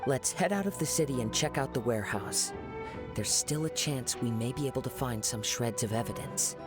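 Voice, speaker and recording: An actor playing a calm, poetic young wandering swordsman in a video game, speaking in a measured, thoughtful way. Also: medium pitch, close to an everyday voice. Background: game music.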